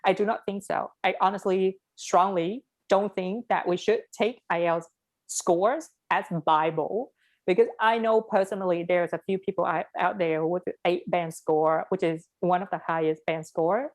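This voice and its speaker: A young woman with a Vietnamese accent and a fairly low voice talks in a casual conversation. She is frank and animated, and she states her opinions firmly. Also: normal speed. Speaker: interviewee.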